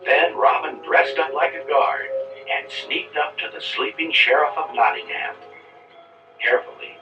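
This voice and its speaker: A man's voice: a little high, measured pace, distant mic, music in the background, natural voice. A man reading scripted narration for a children's read-along storybook. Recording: roomy, dull playback of old read-along record.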